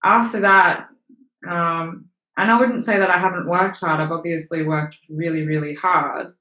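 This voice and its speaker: A woman's voice: fairly low pitch, fairly even intonation, moderate pace, sharp tone. A young woman from Australia, an actress being interviewed, speaking frankly with casual swearing and many fillers. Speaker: actress and model, interview guest. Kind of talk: candid interview conversation. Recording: roomy echo.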